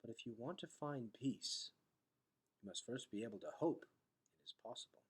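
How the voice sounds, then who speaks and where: A man voicing a kindly, wise male elder in a storybook, with measured, formal, old-fashioned phrasing. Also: medium pitch, husky, natural voice. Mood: gently encouraging.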